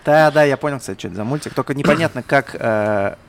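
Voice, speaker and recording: Man, mid-pitched, rapid speech, jokey podcast host, casual chat. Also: slight background noise. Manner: teasing, jokey.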